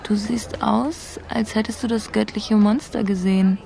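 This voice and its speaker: A woman's voice: medium pitch, steady tone, unhurried, creaky, background noise. An actress playing a wry, curious woman traveller in a radio drama, with a dry, teasing manner. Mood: teasing, storytelling.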